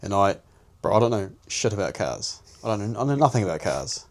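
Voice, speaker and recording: A man's voice: lowish voice, big pitch swings, brisk. A young New Zealand man co-hosting a podcast, chatting casually and animatedly, laughing often and talking in slang. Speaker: podcast co-host. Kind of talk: casual chat.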